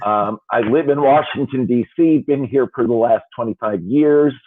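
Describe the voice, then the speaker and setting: A man's voice: mid-range voice, normal speed. A man in his fifties, an attorney, introducing himself with wry, self-deprecating humor. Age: 50s. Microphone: video call. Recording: tinny phone-like line.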